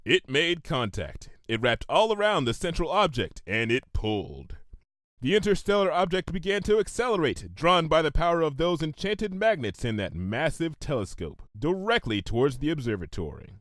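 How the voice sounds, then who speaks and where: Male narrator, higher-pitched, very animated, theatrical, emphatic, dry close sound. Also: normal speed, dry recording. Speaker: story narrator. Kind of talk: dramatic comedic fan fiction narration.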